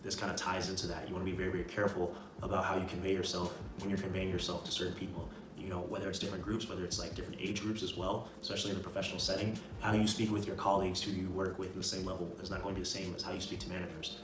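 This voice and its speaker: A man's voice: low voice, flat delivery, racing, hardly any pauses, noisy background. A man giving casual motivational advice as a video creator, with an emphatic, repetitive, slangy way of talking. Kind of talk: casual motivational talk to camera. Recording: roomy echo, distant mic, music behind. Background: music.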